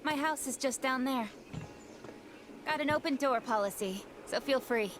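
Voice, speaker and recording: A woman's voice: sits high, moderate pace, background noise, natural voice. A woman voicing a young village guard captain in a video game, businesslike but welcoming. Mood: friendly.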